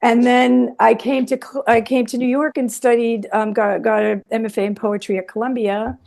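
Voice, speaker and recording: A woman's voice: fairly high pitch, little pitch movement, moderate pace, creaky, a little mellow. A woman from Massachusetts speaking as an author guest in a casual interview, informal and anecdotal, often restarting her sentences. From Watertown, Massachusetts, United States.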